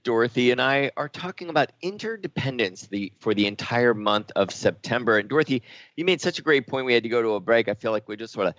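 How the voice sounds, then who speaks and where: A man's voice: medium pitch, animated, quick pace, fairly bright tone. A man hosting a talk radio show, speaking earnestly and thoughtfully with fillers and restarts. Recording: dull lo-fi sound, dry.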